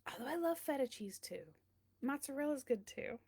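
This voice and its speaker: A woman's voice: high voice, expressive, moderate pace, a little mellow. A woman hosting a read-aloud, chatting casually and speaking directly to her viewers. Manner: chatty, addresses listeners directly with questions.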